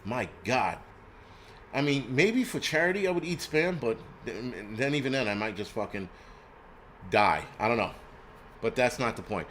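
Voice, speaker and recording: A man's voice: mid-range voice, expressive, moderate pace, fairly bright tone, a little hiss or hum. A man, hosting a casual live stream in a blunt, profane, rambling rant. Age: 40s. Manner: rambling with frequent restarts. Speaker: host.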